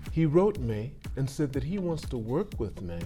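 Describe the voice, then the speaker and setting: A middle-aged man hosting a casual interview, chatty and rambling, correcting himself and firing quick follow-up questions. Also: mid-range voice, very animated, music in the background. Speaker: podcast host.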